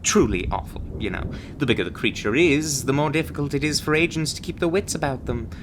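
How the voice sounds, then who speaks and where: A man's voice: fairly high pitch, a little fast, background noise, lightly acted. A man playing a chirpy, breezily menacing recruiter in an ASMR roleplay, glibly cheerful about grim things. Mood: breezy, reassuring. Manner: cheerful, glib delivery of grim threats.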